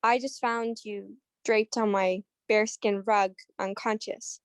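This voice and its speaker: A woman's voice: mid-range voice, steady tone, takes it slowly, smooth, no room sound. A woman playing a cool, dry-witted mystery woman in a radio-style detective drama. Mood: cool, knowing. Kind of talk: 1940s radio mystery acting.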